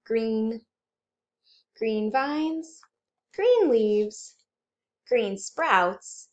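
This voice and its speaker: A woman hosting a children's storytime, reading aloud and chanting rhymes in an animated, exaggerated way for young children. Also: fairly high pitch, very slow. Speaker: children's librarian storytime host.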